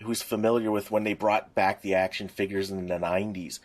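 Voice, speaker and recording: A middle-aged man in casual conversation as a podcast co-host, recollecting in a halting, rambling way. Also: lowish voice, fairly even intonation. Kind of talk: casual chat.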